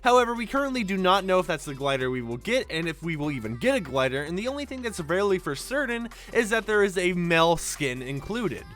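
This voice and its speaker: A man's voice: high voice, sing-song, a little fast, hardly any pauses, a bit bright. A young man hosting a casual gaming news video, chatty and rambling, leaning on fillers and a sleepy morning tone. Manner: chatty, rambling with many likes and basicallys. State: sleepy, recorded early in the morning.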